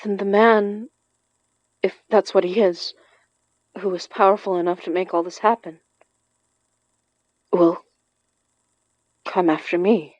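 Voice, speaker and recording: A woman playing a shaken, earnest young summoner who speaks haltingly and urgently in an audio drama. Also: mid-range voice, fairly even intonation, darkish tone, dry recording, highs cut off. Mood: haunted, fearful.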